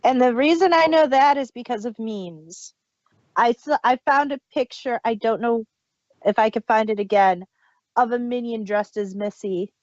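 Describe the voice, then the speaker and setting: A woman's voice: fairly high pitch, moderate pace, a little mellow, no room sound. A woman chatting casually as one of the hosts of a podcast. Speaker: podcast host. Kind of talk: casual chat. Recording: dull lo-fi audio, dry.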